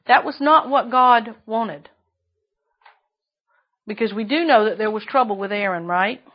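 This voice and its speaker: A woman preaching a sermon with a shrill voice, emphatic and repeating phrases for stress. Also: a little slow, slightly rough, muffled recording.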